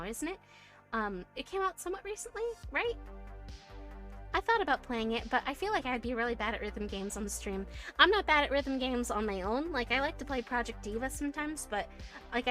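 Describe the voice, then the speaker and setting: Woman streamer, high voice, bright tone, chatty and giggly, soft music behind. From United States. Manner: chatty, giggly, many fillers and restarts. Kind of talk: casual chat reading viewer messages.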